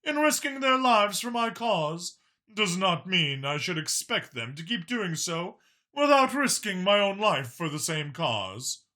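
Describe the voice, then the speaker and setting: A man's voice: high-pitched, sing-song, a little slow, bright, forceful tone, pitched above the performer's own voice, full character voice. A man voicing a confident, grandiose male dragon leader in an audiobook, genteel and pompous. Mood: grandly persuasive.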